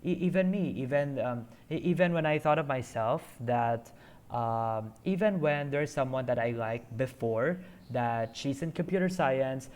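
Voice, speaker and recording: A man's voice: on the high side, darkish tone. A man streaming a story game, chatting casually and rambling with many hesitant fillers.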